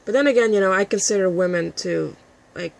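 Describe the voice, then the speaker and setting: A woman with a raspy, husky voice, ranting combatively and with a lot of profanity at a critic in a vlog. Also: medium pitch, brisk, low-quality recording. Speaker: vlogger. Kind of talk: addressing a critic to camera.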